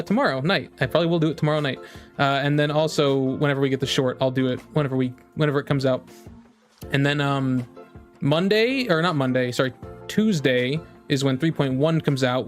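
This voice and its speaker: A man streaming about games in a casual, rambling chat, often repeating his phrases as he talks to viewers. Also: a little high. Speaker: game streamer.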